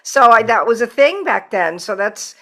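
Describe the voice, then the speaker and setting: Bright-voiced, enthusiastic woman podcast host. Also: on the high side, expressive, normal speed. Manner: gushing, enthusiastic. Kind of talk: casual interview chat. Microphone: video call.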